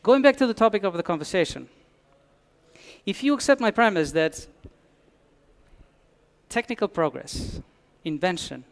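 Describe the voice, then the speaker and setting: A man's voice: high voice, expressive, moderate pace, vocal fry, pauses often. A man from Albania, giving a conference talk with dry humor and frequent restarts. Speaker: conference speaker. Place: conference hall.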